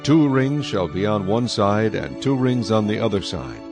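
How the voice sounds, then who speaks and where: A man narrating scripture in a measured, solemn, scripted style for a dramatized audio Bible. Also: on the low side, lively intonation, over music.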